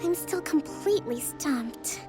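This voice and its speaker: A woman voicing a composed, gentle young female leader in a video game, speaking in a measured, deliberate and formal way. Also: high voice, animated. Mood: weary, troubled. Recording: background music.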